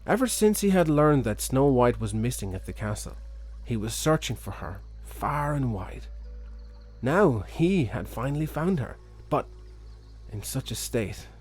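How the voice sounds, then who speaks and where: A man's voice: mid-range voice, darkish tone, slightly rough. A man reading a fairy tale expressively as a children's storyteller.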